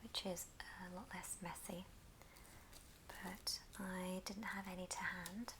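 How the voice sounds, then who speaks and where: A woman whispering a gentle, breathy, step-by-step ASMR craft tutorial. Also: lowish voice, little pitch movement. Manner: slow, gentle step-by-step instruction. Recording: noisy background, handling clicks.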